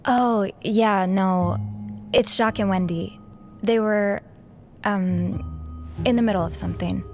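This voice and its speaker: A woman's voice: medium pitch, takes it slowly, a little mellow, faint music behind. A woman playing an earnest, dry-witted young hotel maid in a video game cutscene. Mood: casual, awkward.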